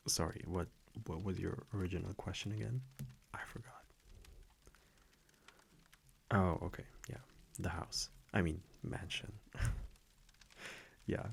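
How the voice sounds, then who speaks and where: A man playing a tender, teasing vampire boyfriend in an intimate ASMR roleplay, speaking softly and affectionately with a breathy voice that dips toward whispers. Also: low-pitched, fairly even intonation, exaggerated voice. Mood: relaxed, casual. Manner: soft, unhurried, affectionate, gently teasing. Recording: faint background noise, crackling fireplace ambience.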